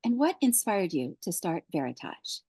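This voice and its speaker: A woman's voice: mid-range voice, very animated, normal speed, warm tone, husky, no echo. Friendly lady interviewer, keeps affirming her guest, dry close mic. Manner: gracious, affirming, asks long questions. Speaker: podcast host. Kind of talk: podcast interview.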